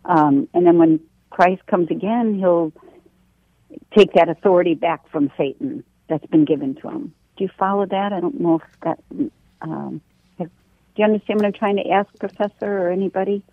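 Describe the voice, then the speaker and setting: A woman's voice: fairly low pitch, moderate pace, no room sound. A woman calling in to a radio show, hesitantly searching for words as she asks her question. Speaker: caller. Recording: dull phone-line caller audio.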